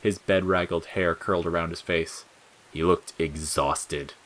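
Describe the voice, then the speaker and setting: Male narrator for audio fiction, low register, scripted storytelling. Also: steady tone, unhurried, fairly bright tone, rough recording.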